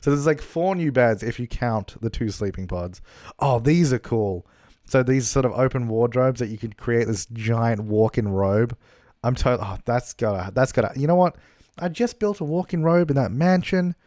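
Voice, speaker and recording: A man's voice: mid-range voice, moderate pace, darkish tone, no echo, clean studio sound. A young man chatting casually over gameplay, rambling with lots of fillers and laughs, his voice a little worn, recorded in a hotel room. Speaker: gaming YouTuber. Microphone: travel recording setup.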